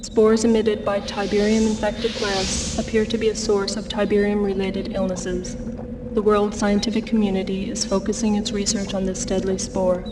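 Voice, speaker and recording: Woman acting as impersonal female report announcer, flat intonation, formal game narration, clearly noisy recording. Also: moderate pace, natural voice. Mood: detached. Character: detached female announcer reporting medical research findings. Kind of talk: scripted report narration in video game cutscene. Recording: distant, noisy low-fidelity broadcast sound.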